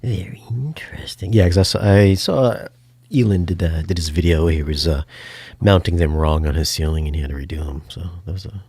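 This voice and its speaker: A man's voice: low voice, a little fast, creaky, dark tone, slightly rough, dry recording. A man asking questions in a casual interview, informal and a little hesitant.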